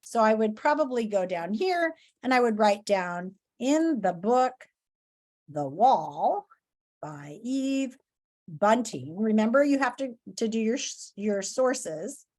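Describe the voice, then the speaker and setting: A woman's voice: fairly high pitch, big pitch swings, takes it slowly, a little mellow. A woman teacher reading a story aloud and giving lesson instructions to pupils, expressively and directly.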